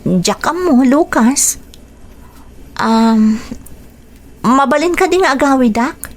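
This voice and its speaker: A woman's voice: sits high, expressive, slow pace. A young woman voicing an anxious young mother in a radio drama, speaking with hesitant unease. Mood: uneasy. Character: young mother, anxious about her strict father.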